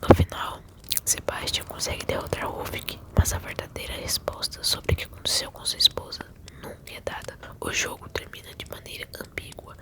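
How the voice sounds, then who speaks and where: A Brazilian woman telling a story in a soft, intimate, soothing ASMR whisper. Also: normal speed. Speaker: ASMR video creator telling game stories.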